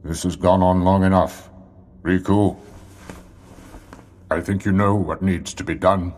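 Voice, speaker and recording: An actor playing a grave, commanding leader in a video game, solemn and formal. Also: male voice, deep voice, steady tone, a little slow, a little mellow. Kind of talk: video game cutscene acting.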